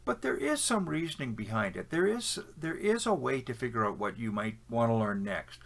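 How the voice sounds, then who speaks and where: A man's voice: medium pitch, sing-song, normal speed, gravelly. A man with a Canadian accent, giving opinionated, conversational advice to camera with frequent fillers and restarts. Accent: Canadian English. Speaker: language-learning vlogger. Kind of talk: casual advice talk to camera.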